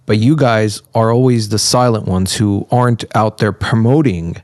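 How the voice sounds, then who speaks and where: Man, podcast host, low dry voice, conversational interviewing, halting phrasing. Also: fairly even intonation, darkish tone, dry recording.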